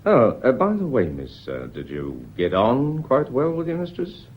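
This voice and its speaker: An actor playing a courteous, probing British police inspector in a radio mystery play, formal and deliberate in his questioning. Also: mid-range voice, wildly swooping pitch, a little hiss or hum. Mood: insistent, courteous. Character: probing, measured, courteous male Scotland Yard police inspector. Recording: dull, muffled radio drama recording.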